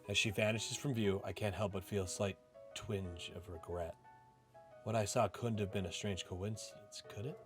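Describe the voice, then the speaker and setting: Man reading as a subdued, low-voiced brooding office worker. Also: steady tone, moderate pace, fairly bright tone, natural voice. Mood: wistful. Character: reserved, brooding young office worker narrating his story. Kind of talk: storybook-like visual novel narration. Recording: music playing behind the voice.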